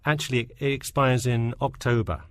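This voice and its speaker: A man's voice: mid-range voice, fairly even intonation, moderate pace, fairly bright tone, no echo, clean studio sound, close to an everyday voice. A man playing an eager, agreeable lifeguard job applicant in a scripted listening-test interview.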